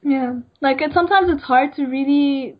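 A woman's voice: high voice, moderate pace. A young woman, an emerging designer from Egypt, answering interview questions in a hesitant way with many fillers. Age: young adult. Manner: hesitant, many ums and likes. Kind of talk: casual interview. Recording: dull lo-fi sound.

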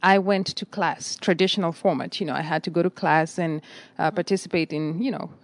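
A middle-aged woman, a nursing director answering interview questions in a measured, articulate way with frequent fillers. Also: lowish voice, no room sound. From Kenya.

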